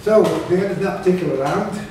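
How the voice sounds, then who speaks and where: Male, fairly high voice, casual quiz chat; heavily echoing room with clear background noise. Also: quick pace. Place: echoey indoor pub function room. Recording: noisy echoey room, far from mic.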